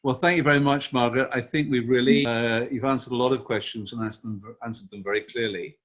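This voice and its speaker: A man moderating a webinar over a video call, measured and thoughtful, pausing with fillers. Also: medium pitch, fairly even intonation. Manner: measured, thoughtful, fills pauses with um. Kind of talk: closing remarks on a video call.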